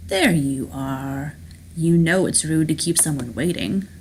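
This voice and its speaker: A woman's voice: low-pitched, a little slow, slight background noise. Woman playing suave, smug rich male villain. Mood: gleeful, unhurried. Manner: urbane, theatrical, savoring words. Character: suave, wealthy, unflappable male villain yacht owner.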